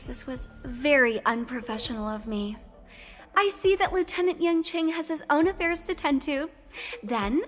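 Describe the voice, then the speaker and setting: Polite young female journalist, video game, high pitch, presenterly, faint music behind. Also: fairly bright tone. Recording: long reverb, music behind.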